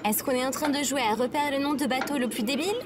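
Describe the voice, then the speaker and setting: A woman's voice: sits high, fast talker, close to an everyday voice. A woman playing a pedantic, sarcastic female AI companion in a French comedy audio drama, formal and fussy. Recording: very noisy background of ambient effects.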